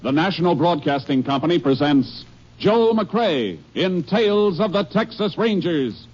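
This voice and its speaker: A man's voice: fairly high pitch, very animated, a little slow, highs cut off. A man announcing and narrating a radio drama in a formal, dramatic 1950s style. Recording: old radio recording with loud music.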